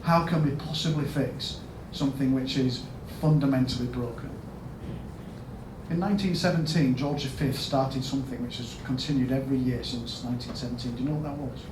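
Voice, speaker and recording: A man with a Yorkshire accent giving a storytelling conference talk as a health service chief executive, asking the audience questions and rising to loud emphatic bursts. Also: medium pitch, brisk. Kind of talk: storytelling keynote speech. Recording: echoey hall, mic at a distance, background noise.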